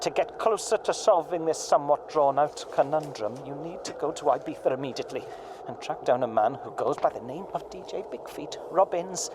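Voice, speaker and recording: A man's voice: a little high, fairly quick, lightly acted. A man playing an urgent, melodramatic secret informant leaving a grave recorded message in a comic audio drama. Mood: grave, dramatic. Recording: clear background noise, vehicle-like ambience.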